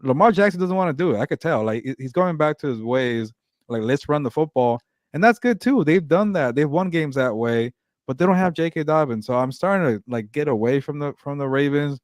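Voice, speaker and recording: A man's voice: on the high side, animated, brisk, no room sound, clean studio sound. A man co-hosting a casual sports talk show, teasing his partner and often stammering over his words. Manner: teasing, hesitant, repeats words. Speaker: co-host.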